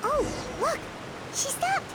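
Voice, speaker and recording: A woman voicing a tiny, excitable, easily scared fairy companion in a video game, squeaky and childlike, talking about herself in the third person. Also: slow pace, heavy background noise, exaggerated voice. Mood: excited alarm. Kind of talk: video game dialogue acting.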